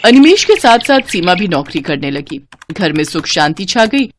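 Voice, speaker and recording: A woman's voice: on the low side, sing-song, normal speed, slight background noise. A woman storyteller narrating a scripted moral story.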